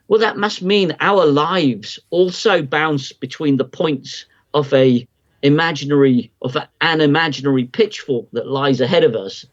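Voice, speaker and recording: A man's voice: fairly high pitch, lo-fi sound. A middle-aged man, a guest on a podcast, explaining his ideas in a reflective, rambling way with many restarts. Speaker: guest market analyst.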